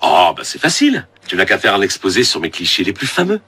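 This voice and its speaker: Animated, good-natured cartoon dad. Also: male voice, deep voice, fast, husky, exaggerated voice. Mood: affable. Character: good-natured, slightly vain photographer father. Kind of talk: French animated children's series dubbing.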